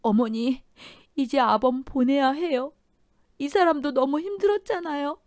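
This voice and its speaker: A woman's voice: sits high, a little slow, darkish tone, no room sound, clean studio sound, pitched above the performer's own voice. A woman voicing a widowed daughter-in-law, speaking in a raised, emotional voice. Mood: sobbing. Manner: tearful, pleading, then sharply reproachful.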